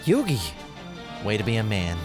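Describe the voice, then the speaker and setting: A man playing a hot-headed, brash and excitable teenage boy brawler in an anime-style audio drama. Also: lowish voice, normal speed, music in the background. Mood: low, earnest.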